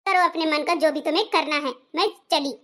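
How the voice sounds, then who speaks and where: A woman's voice: high voice, brisk, a bit bright. A woman voicing a sulky, defiant young newlywed wife in a cartoon, indignant and complaining. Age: young adult. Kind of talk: cartoon voice acting.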